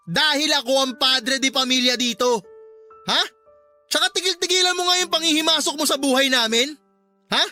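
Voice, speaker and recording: An actor with a Filipino accent playing a belligerent, abusive husband and father who sneers and shouts in a radio drama. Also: extremely high pitch, full character voice.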